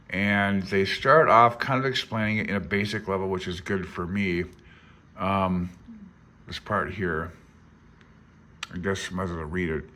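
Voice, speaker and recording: A man's voice: sits low, pushed and bright. A man in his fifties giving an informal read-along tutorial, thinking aloud in a hesitant, self-deprecating way. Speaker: amateur radio hobbyist explaining a handbook.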